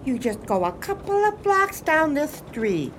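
Woman acting a very high-pitched eager kid. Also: sing-song, measured pace, slight background noise. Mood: wheedling. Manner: pushy, childish, casual. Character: wheedling eager neighborhood kid angling for a ride. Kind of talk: radio play acting.

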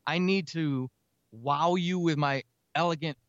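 A man talking as an interview guest, reflecting earnestly and self-critically on his craft in halting, explanatory phrases. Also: fairly high pitch, smooth, no echo, muffled recording. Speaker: guest writer and podcaster.

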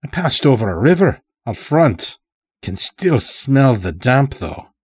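A male narrator plays a stout old farmer in a story, sly and easygoing. He speaks in a folksy drawl, slow and husky, stretching his words. The pitch swings widely and the sound is close and dry. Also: very animated, darkish tone, no room sound, full character voice. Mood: folksy, musing.

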